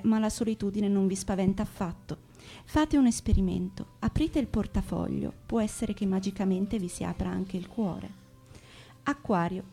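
A woman with a gentle voice reading a scripted horoscope as a radio astrologer. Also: mid-range voice.

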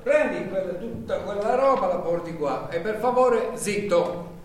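A rude, bossy foreman, played by an actor who barks orders with a lively, swinging pitch. He is picked up from a distance on an echoey stage, with faint background hiss. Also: a little slow. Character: pushy male foreman bossing a new worker.

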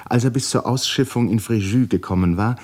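A man narrating a literary 1950s radio play with dramatic, deliberate phrasing that often falls to hushed endings. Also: medium pitch. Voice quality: breathy, husky.

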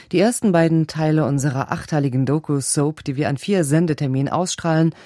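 A woman's voice: rumbling low, dark tone, dry recording, studio quality. A woman reading a scripted programme announcement as a radio announcer.

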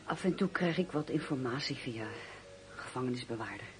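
An actress playing a loyal, indignant young fiancée in a radio drama, speaking earnestly and with emphasis. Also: female voice, extremely low pitch, animated, a little fast. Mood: worried. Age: young adult. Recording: noisy radio play recording.

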